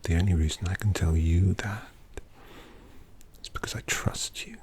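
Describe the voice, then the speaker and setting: A performer in an ASMR roleplay voicing a suave, possessive vampire painter who dotes on a captive in a breathy whisper, slowly and with menacing tenderness, close to the microphone. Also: deep voice, steady tone, darkish tone. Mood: hushed, confiding. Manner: slow, deliberate, indulgent, menacingly tender.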